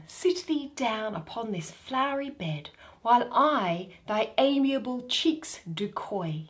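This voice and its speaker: A woman acts a fairy queen besotted with her beloved in a verse stage comedy. Her voice is fairly high and moves slowly, tender and adoring, with wide swings of pitch. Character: Titania, doting, lovestruck fairy queen.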